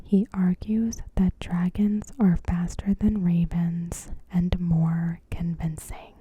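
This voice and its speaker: Female ASMR narrator: whispered, very breathy commentary, extremely unhurried, in short measured phrases. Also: on the low side, flat delivery, warm tone. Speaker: ASMR host. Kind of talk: ASMR, softly spoken TV episode commentary.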